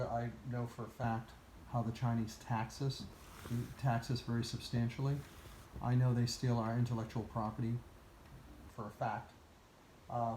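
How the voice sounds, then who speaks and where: A man from Portugal talking casually in a conversation, giving his views at a measured pace and pausing often with "uh". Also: medium pitch, dark tone, echoey room, slight background noise, low-quality recording. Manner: measured, lists places and reasons, with many "uh" pauses. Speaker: interviewee.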